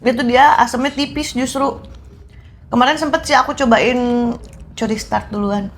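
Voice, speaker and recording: A woman's voice: a little high, fairly even intonation, normal speed, slight background noise. A young woman from Indonesia co-hosting, chatting casually and laughing easily as she speaks to viewers. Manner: chatty, giggly, talks to viewers. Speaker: co-host. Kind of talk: casual chat.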